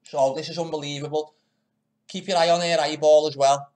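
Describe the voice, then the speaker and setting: A man's voice: on the high side, fairly even intonation, brisk, darkish tone. Mocking man on a stream. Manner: scornful, crude, talks over the broadcast. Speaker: live stream host. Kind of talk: mocking commentary over a news broadcast.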